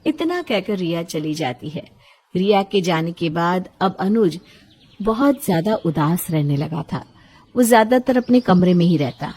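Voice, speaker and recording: A woman's voice: on the low side, expressive, measured pace, darkish tone, slightly rough. A woman storyteller reading a scripted bedtime story as its narrator.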